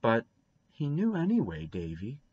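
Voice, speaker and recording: A gentle young storybook woman, voiced by a man, asks and soothes patiently. The pace is slow and the pitch moves expressively. The audio is a little dull. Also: huge pitch swings, breathy edge, heavily acted. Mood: gentle concern. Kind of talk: storybook character reading.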